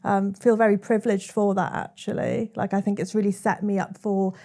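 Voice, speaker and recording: A young Welsh woman speaking as a guest, her accent clear from the first words. She tells her story in a lively, chatty way, with a middle-range voice that moves easily through the account. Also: medium pitch, fairly quick. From South Wales. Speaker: guest, content creator. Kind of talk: conversational storytelling.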